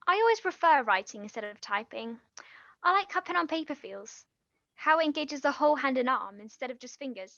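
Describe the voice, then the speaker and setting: A woman acting a nervous, eager aspiring writer in a naturalistic stage play, talking in a flustered, halting, rambling way. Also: sits high, lively intonation, gravelly, a bit bright, no echo, highs cut off, heavily acted. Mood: rambling, awkward. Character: nervous, eager female aspiring writer hiding her past.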